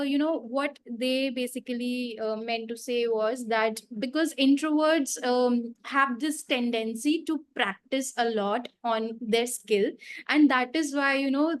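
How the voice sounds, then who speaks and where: Female podcast host, fairly high voice, conversational interview delivery.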